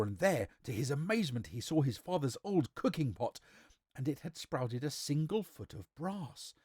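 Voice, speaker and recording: Male storybook narrator, theatrical delivery, very lively pitch movement, dry close recording. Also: breathy edge, no echo. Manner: theatrical, emphatic.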